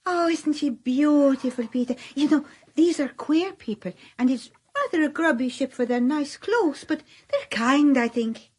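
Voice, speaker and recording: A woman's voice: high voice, animated, measured pace, darkish tone. A chatty island girl. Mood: musing. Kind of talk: radio drama acting.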